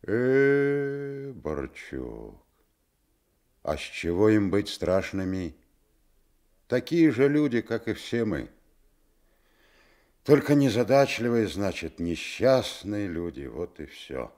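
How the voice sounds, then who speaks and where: An actor playing a kindly old sailor orderly in a Soviet radio drama, speaking in a folksy, plain way. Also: male voice, fairly low pitch, very animated, slow, long pauses, darkish tone. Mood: explaining patiently. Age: older. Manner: folksy, colloquial peasant speech.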